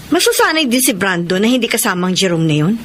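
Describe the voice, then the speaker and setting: A woman playing a heartless, scheming middle-aged mother in a radio drama, sharp-tongued and imperious. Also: medium pitch, sing-song, quick pace, a little hiss or hum, natural voice. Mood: cold, dismissive.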